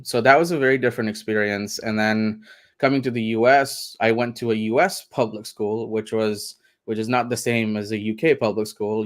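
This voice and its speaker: A man from India, a guest in a radio interview, talking in a reflective, meandering way and laughing often. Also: lowish voice. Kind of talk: casual interview conversation.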